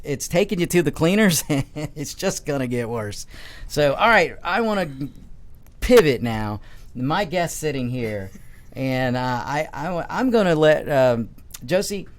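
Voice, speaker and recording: Emphatic man hosting talk radio. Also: mid-range voice. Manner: emphatic, repeats and restarts phrases. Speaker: radio talk show host. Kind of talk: opinion talk radio monologue. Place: radio studio.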